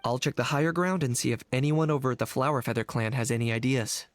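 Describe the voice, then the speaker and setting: A man playing a calm, practical young adventurer in a fantasy video game. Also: medium pitch, quick pace, dry recording, lightly acted. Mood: calm, attentive.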